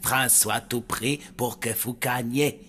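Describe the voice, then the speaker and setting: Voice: mid-range voice, fairly even intonation, slow pace, fairly bright tone. An actor voicing a dim, eager, childlike cartoon monster who speaks in broken third person. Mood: excited, proud. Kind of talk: cartoon voice acting.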